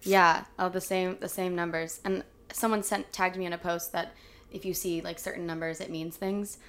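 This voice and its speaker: A woman's voice: fairly low pitch, flat delivery, moderate pace, a bit bright, smooth. A young woman from California co-hosting a podcast, chatty and upbeat, laughing often and using many fillers. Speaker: podcast co-host. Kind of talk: casual chat.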